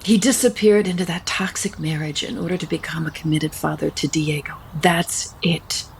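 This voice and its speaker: A woman's voice: sits low, steady tone, moderate pace, creaky, slight background noise, rough recording. An actress playing a genteel, protective middle-aged mother who holds grudges, in an audio soap opera. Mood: sorrowful, intense.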